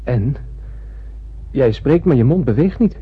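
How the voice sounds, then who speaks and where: A man's voice: mid-range voice, expressive, measured pace, husky. An actor playing a wondering, nervous spaceman who narrates a radio drama in a musing, theatrical way. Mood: amazed. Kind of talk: dramatic first-person radio play narration. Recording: narrow lo-fi old radio recording, faint noise.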